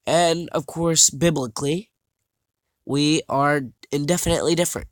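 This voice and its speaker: A young man vlogging over slides, talking haltingly with many fillers and restarts, his voice a little scratchy and his nose stuffed up. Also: a little high, fairly even intonation, no room sound, studio quality. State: congested, slightly scratchy voice. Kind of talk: casual talk over slides.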